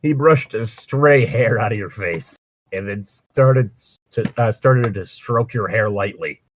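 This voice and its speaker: Husky, sarcastic man with lively pitch swings. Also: unhurried, no room sound. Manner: sarcastic, crude wisecracking. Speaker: co-host reading and riffing on fan fiction. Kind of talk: casual read-aloud with joking commentary.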